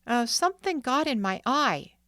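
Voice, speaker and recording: A woman's voice: sits high, animated, normal speed, sharp tone, no room sound, studio quality, voice pushed up. Woman voicing a timid young boy. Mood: sheepish.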